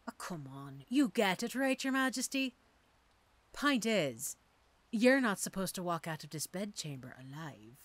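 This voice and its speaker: Female mercenary assassin for fantasy audio drama, acted by a woman: wry, teasing, street-hardened, very animated pitch, close dry recording. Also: dry recording. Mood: mocking surprise. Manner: teasing, theatrical, sardonic. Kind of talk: audio roleplay drama acting.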